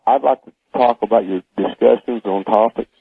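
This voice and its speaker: American guy calling in, husky, really muffled narrow phone line, just giving his take. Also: medium pitch, brisk, no room sound. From Oklahoma, United States. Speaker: caller to a radio show. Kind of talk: casual phone-in comment.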